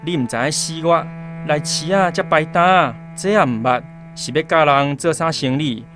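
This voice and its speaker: An actor with a Taiwanese accent playing an alarmed market acquaintance who scolds and warns, in a radio drama. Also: male voice, a little high, moderate pace, fairly bright tone, faint music behind, close to an everyday voice. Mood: scolding, alarmed.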